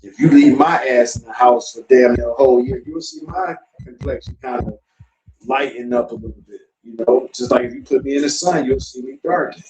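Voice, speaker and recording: A man's voice: mid-range voice, big pitch swings, a little fast, vocal fry, darkish tone. A man from South Florida hosting a casual talk show, lecturing loosely and swearing freely. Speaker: podcast host. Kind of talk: casual chat. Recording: distant mic with faint noise.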